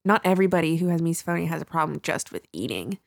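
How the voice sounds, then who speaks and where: Brief: young female podcast co-host, slightly low pitch, expressive, close dry mic. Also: very fast, vocal fry, no echo. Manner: animated and expressive, laughs often. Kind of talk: casual chat. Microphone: studio podcast mic.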